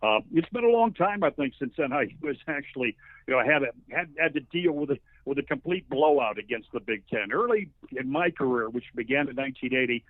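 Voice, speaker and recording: A man's voice: mid-range voice, animated, brisk, dry recording. A man in his sixties, a radio sports announcer, talking conversationally as an interview guest in a wordy, folksy storytelling way. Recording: narrow phone-like line.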